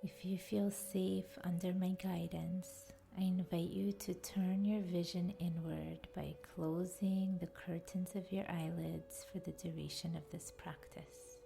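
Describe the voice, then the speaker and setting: Woman meditation guide, soft and soothing, very even intonation, creaky edges, breathy near-whisper. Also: on the low side. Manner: soft, soothing, deliberate delivery. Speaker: podcast host and yoga nidra guide. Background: faint music.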